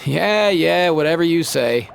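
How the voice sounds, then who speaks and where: A man's voice: fairly high pitch, lively intonation, unhurried, vocal fry, a little hiss or hum. An actor playing a casual, brotherly young man in a video game, slangy and a little bashful. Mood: teasing, easygoing.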